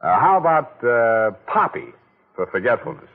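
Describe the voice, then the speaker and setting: An actor playing a wisecracking, laconic private detective, in 1940s radio acting. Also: male voice, medium pitch, huge pitch swings, normal speed. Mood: wry. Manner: dry, laconic, teasing. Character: wisecracking hard-boiled male private detective. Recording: tinny old radio broadcast.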